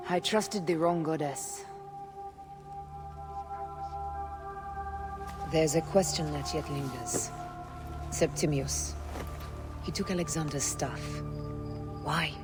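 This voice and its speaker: A woman's voice: sits low, unhurried, pauses often. Fierce, vengeful woman warrior. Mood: bitter. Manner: curt, commanding, intense. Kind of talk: dramatic video game acting. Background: background music.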